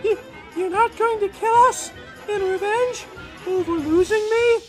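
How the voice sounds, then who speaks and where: A woman plays a young newlywed bride in a horror comic tale. The voice is extremely high and slow, melodramatic and timid, with music behind. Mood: frightened.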